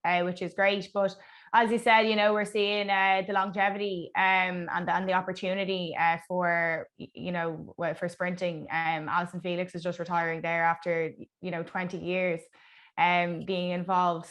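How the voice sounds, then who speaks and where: Flat-voiced woman host. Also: fairly low pitch, moderate pace. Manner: hesitant with fillers, reading intros. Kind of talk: sports show presenting.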